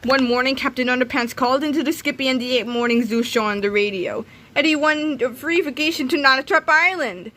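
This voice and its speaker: A woman reading a children's comic aloud in an animated, dramatic, expressive way, occasionally stumbling and re-reading. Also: high voice, a little fast, few pauses. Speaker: read-aloud narrator.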